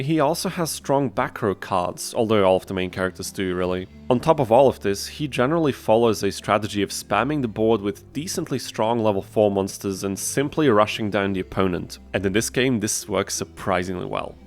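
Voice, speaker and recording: A man giving scripted, conversational and explanatory voice-over commentary as the host of a video game challenge. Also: on the low side. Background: background music.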